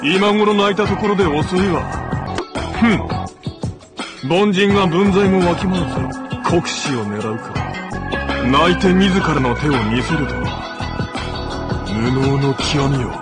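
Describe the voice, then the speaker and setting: A man's voice: fairly high pitch, animated, unhurried, pauses often. An actor playing a haughty, hot-tempered scheming strategist of ancient China in a video game, contemptuous and pompous as he scolds. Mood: sneering. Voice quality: theatrical, swinging from sneer to shriek. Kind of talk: theatrical video game voice acting. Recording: game music behind the lines.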